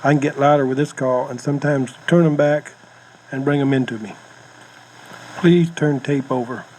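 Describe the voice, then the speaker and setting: A man's voice: mid-range voice, fairly even intonation, normal speed, a little mellow. An American man giving informal hunting instruction on a cassette tape, with plainspoken, folksy phrasing. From United States. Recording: noisy home-style cassette tape recording.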